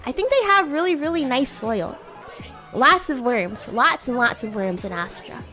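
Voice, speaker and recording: A woman roleplaying a playful, bratty demon classmate on a livestream, chatting in a halting, improvised way. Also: sits high, big pitch swings, bright, forceful tone, faint music behind, some chatter behind. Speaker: streamer and VTuber host.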